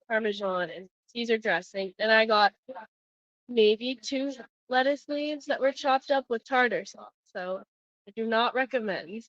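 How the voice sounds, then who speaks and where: An American woman talks casually as a travel co-host, listing details in plain words. Her pitch is average for a woman. The recording is dry and a touch dull. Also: no room sound. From United States. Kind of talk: casual descriptive chat.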